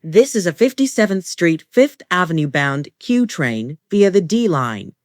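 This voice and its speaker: A woman with a fairly low voice reads scripted transit announcements as an automated train announcer. Her pitch moves in a lively way across measured set phrases. The sound is dry, with little room echo. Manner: formulaic, measured delivery of short set phrases.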